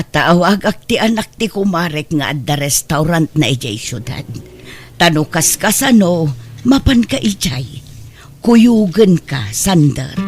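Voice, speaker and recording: A woman playing a protective, sympathetic middle-aged godmother in a radio drama, expressive and concerned. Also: lowish voice. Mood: concerned, indignant. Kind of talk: Ilocano radio drama acting.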